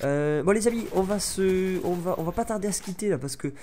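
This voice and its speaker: A man's voice: high voice, expressive, brisk, warm tone, a little hiss or hum. A young man from France with a stuffy head cold, rambling chattily over his gameplay with many fillers. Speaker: gaming YouTuber. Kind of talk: gameplay commentary.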